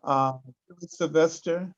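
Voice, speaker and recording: A man giving hesitant, halting opening announcements as an event coordinator over a lo-fi video call. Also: fairly high pitch, fairly even intonation. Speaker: workshop coordinator introducing the event. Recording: dull lo-fi video call audio.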